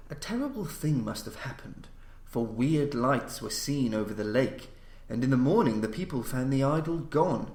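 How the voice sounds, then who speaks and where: A man narrates an old-fashioned horror story with formal, measured delivery. His voice sits at an average male pitch. The recording is noticeably roomy, with an echo around the words. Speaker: podcast host and story reader.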